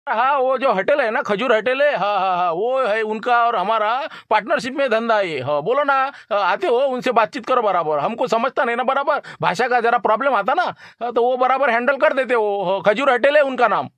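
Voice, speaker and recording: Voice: medium pitch, expressive, fairly quick, fairly bright tone, no echo, lightly acted. A performer voicing an easygoing outsider harvester operator in colloquial, repetitive Hindi for a cartoon comedy.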